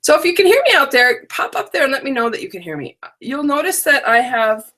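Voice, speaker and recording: A woman's voice: high voice, big pitch swings, fairly quick, a bit bright. Chatty woman with a scratchy voice. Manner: chatty, rambling, talks to unseen viewers. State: scratchy voice from allergies, drowsy. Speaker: stamping business owner hosting a live online workshop. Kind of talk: casual live-stream chat. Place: home stamp room.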